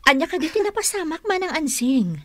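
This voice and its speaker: A woman's voice: very high-pitched, animated, a little fast, no echo, clean studio sound. A woman playing a lady's female companion in an Ilocano radio drama. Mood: concerned. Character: older-sister-like female companion of the young lady.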